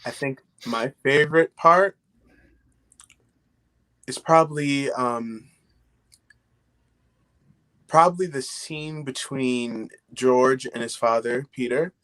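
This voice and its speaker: A man's voice: medium pitch, takes it slowly, long pauses, fairly bright tone, poor audio. A young man chatting casually and reflectively as a panelist on a video call from home. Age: young adult.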